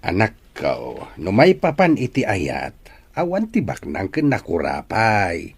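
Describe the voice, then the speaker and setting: Man playing a slow, husky old father. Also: lowish voice, wildly swooping pitch, full character voice. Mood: tender advice. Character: gentle, wise old father giving advice. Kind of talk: radio-style Ilocano drama acting.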